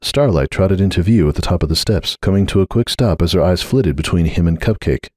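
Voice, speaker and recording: A man's voice: sits low, a little fast, darkish tone, no echo, studio quality. A man giving a dramatic scripted narration of a story.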